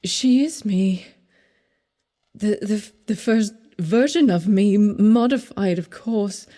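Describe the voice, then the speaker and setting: A woman playing a composed, quietly tender android woman in a radio drama, speaking in a measured, formal way. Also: medium pitch, close to an everyday voice. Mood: grave, measured.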